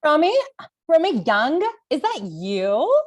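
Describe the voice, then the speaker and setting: A woman's voice: high voice, sing-song, slow, a little mellow, full character voice. A woman reading the part of an effervescent comedian in her late thirties at an online table read, breezy and emphatic. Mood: delighted surprise.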